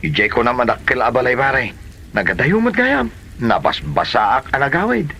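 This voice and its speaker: Man acting as young delivery man, Ilocano accent, bright voice, expressive, comedy drama, faint background noise. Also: mid-range voice, moderate pace, vocal fry, full character voice. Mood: excited, chatty. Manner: chatty, expressive.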